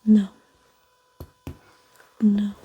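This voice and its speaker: A woman playing the patient in an ASMR exam roleplay, giving short, quiet answers in a husky, breathy voice. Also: medium pitch, monotone, very slow, phone-quality sound, a little hiss or hum.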